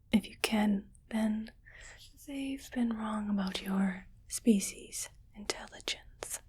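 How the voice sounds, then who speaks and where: A woman's voice: mid-range voice, a little mellow, a little hiss or hum. A woman voicing a smug, wealthy alien collector of human pets in a condescending, whispered ASMR roleplay. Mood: patronizing, adoring. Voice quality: breathy whisper. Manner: slow, condescending, coaxing.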